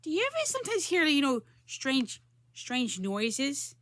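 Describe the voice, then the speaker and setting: A woman with a fairly high voice hosts a children's story time. She talks casually to young listeners, and the sound is close and dry. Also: huge pitch swings, a little slow, a bit bright, no echo.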